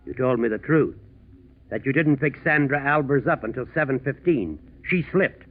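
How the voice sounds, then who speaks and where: An actor playing a stern, unyielding American prosecutor in a 1940s radio drama, speaking in a firm, accusing, deliberate manner. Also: male voice, mid-range voice, natural voice. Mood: accusing, skeptical. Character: stern, unyielding male prosecutor pressing a witness. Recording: tinny, faintly noisy 1940s radio recording.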